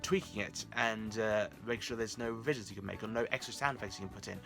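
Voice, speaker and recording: A man's voice: fairly low pitch, steady tone, quick pace, vocal fry, sharp tone, soft background music. A man talking casually to his viewers as the host of a vlog.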